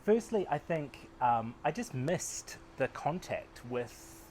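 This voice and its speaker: A man's voice: a little high, takes it slowly, a little hiss or hum. New Zealand man chatting in an interview. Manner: relaxed, self-deprecating, many fillers. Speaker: acupuncturist and researcher. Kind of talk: casual interview answers. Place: outdoor veranda, daytime. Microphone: lapel mic.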